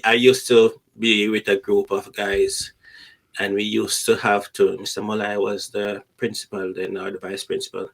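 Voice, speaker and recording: A middle-aged Jamaican man with a fairly low voice, a guest in a casual podcast chat. He tells stories in a conversational way and repeats words to drive a point home.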